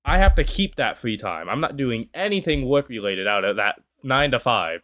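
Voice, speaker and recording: A man's voice: medium pitch, animated, normal speed, pushed and bright. Rambling twenty-year-old guy. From United States. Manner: rambling, many "you know" fillers. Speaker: YouTube reactor. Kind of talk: casual reaction commentary.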